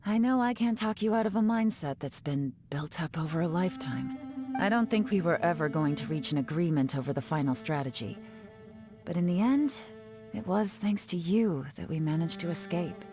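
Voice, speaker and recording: A woman playing a composed, self-assured, guarded adventurer in a video game, with a cool, measured, matter-of-fact delivery. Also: on the low side, lively intonation, over music, close to an everyday voice. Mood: reflective, grateful. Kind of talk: video game character acting.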